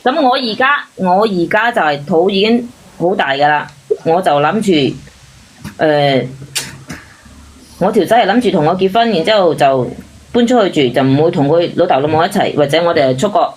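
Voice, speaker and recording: A woman's voice: fairly low pitch, lively intonation, moderate pace, breathy edge, rough recording. A woman caller talking about her relationship in an insistent, defensive way, in casual emotional chat. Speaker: caller sharing a relationship problem.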